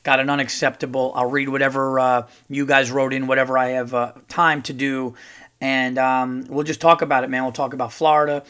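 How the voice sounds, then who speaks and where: A middle-aged man, a comedian hosting his own podcast, chatting casually and rambling with lots of fillers, his voice a little hoarse from touring. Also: mid-range voice, level tone, brisk, fairly bright tone. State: slightly hoarse, losing his voice. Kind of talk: casual solo podcast monologue.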